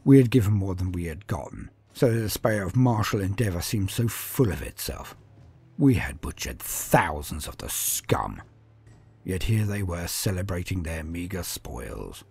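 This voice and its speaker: A man's voice: sits low, very animated, unhurried, creaky, a little mellow, slightly rough, exaggerated voice. A man voicing a grim armoured space marine who recounts battle in a solemn, martial, disdainful storytelling manner. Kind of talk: first-person dramatic storytelling.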